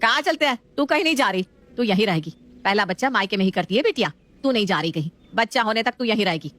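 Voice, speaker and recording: A woman's voice: mid-range voice, expressive, fast, a bit bright, natural voice. Woman playing an emphatic, fussing mother. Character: doting, emotional middle-aged mother.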